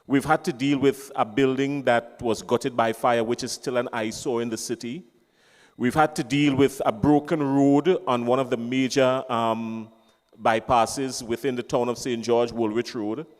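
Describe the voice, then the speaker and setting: A man's voice: medium pitch, fairly even intonation, measured pace, a little mellow. Earnest man in an echoing hall. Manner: earnest, emphatic, lists points with repetition. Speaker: audience member asking a question. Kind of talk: public question at a town hall meeting. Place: town hall meeting venue. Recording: reverberant hall.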